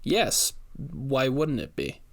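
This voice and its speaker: A man voicing an elegant, seductive, wealthy society hostess with genteel, measured, formal speech in an audio story. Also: medium pitch, very animated, vocal fry, full character voice. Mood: sultry, coy.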